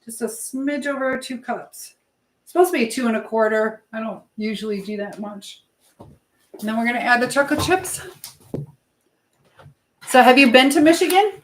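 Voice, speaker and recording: A woman with a fairly high voice hosts a casual livestream from her home kitchen. She rambles in a chatty way, thinking aloud as she works. There is clear background noise with some clicking. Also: expressive, normal speed, long pauses, poor audio. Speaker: livestream host. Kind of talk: casual live-stream chat while baking.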